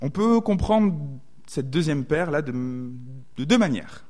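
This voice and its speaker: A man's voice: fairly high pitch, animated, slow, warm tone. A man with a southwestern French accent preaching a sermon, thoughtful and often hesitating to reword his thoughts.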